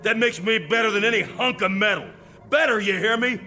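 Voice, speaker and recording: A man's voice: high voice, brisk, noisy background, close to an everyday voice. An actor playing an embittered, hot-tempered male factory worker in an audio drama, speaking bluntly and emphatically. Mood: bitter, angry. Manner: emphatic, blunt, repeats himself.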